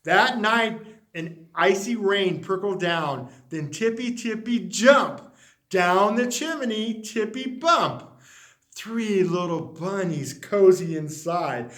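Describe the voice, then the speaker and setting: A man reading a children's picture book aloud, expressive and dropping to a hush on the refrains. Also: high voice, slow pace. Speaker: storyteller.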